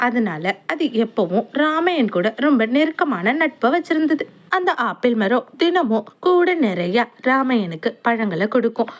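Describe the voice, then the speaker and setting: A woman narrating a cartoon moral story in a clear, even storytelling manner. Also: a little high, measured pace, few pauses.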